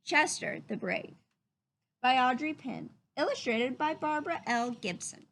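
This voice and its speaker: A woman reading a children's storybook aloud in an expressive way and explaining words simply. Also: high voice, unhurried. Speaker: read-aloud host.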